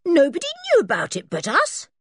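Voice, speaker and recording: A woman's voice: sits high, wildly swooping pitch, moderate pace, dry recording, studio quality, pitched above the performer's own voice. A woman voicing a bossy, possessive tomboy girl in a storybook, demanding and indignant.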